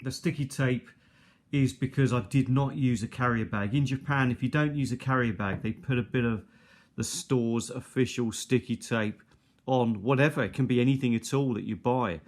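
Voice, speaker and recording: A man's voice: mid-range voice, normal speed. A middle-aged man giving an unscripted, casual show-and-tell in a hesitant, rambling, conversational way. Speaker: video host. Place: indoors at a kitchen table. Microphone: handheld or nearby tablet's built-in mic.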